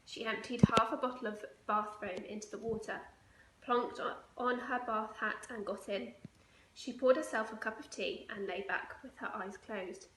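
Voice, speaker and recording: Fairly high-voiced woman reading a children's story. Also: fairly even intonation, normal speed. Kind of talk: children's picture book read aloud. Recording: echoey room, faint noise.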